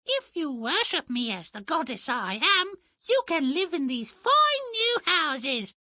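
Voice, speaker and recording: A man's voice: extremely high pitch, wildly swooping pitch, measured pace, sharp tone, no echo, studio quality, exaggerated voice. An actor voicing a haughty, tyrannical woman who fancies herself a goddess in a fairy-tale video game. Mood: imperious, menacing.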